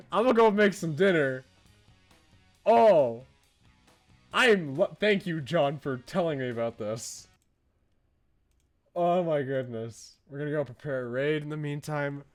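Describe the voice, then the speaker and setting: A man's voice: fairly high pitch, takes it slowly, pauses often, faint music behind. A man chatting casually on a livestream as a game streamer, enthusiastic and informal in how he talks.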